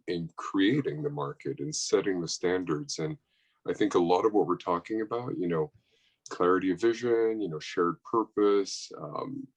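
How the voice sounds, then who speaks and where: A man speaking as a panelist from a bank, measured and thoughtful with many small restarts. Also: low-pitched, breathy edge. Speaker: panelist, bank sustainability executive. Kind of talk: panel discussion answer.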